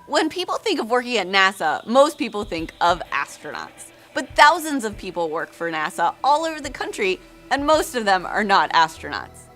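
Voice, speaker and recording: This is a woman narrating a scripted educational piece. Her delivery is upbeat and enthusiastic, with a lively, swinging pitch. Music plays softly behind her. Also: moderate pace, few pauses. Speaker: narrator host. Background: background music.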